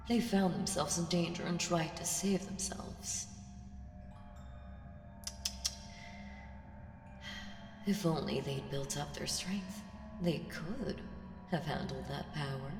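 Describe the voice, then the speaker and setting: In a cavernous, long reverb comes an ancient female evil played by a woman, speaking very slowly and on the low side. She is seductive and patient, teasing her listener with menace and dropping into whispers, in the manner of an ASMR roleplay. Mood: ominous warning. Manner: slow, deliberate, teasing, lapsing into breathy whispers. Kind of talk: ASMR audio roleplay acting. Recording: very echoey, long reverb, music behind. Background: music.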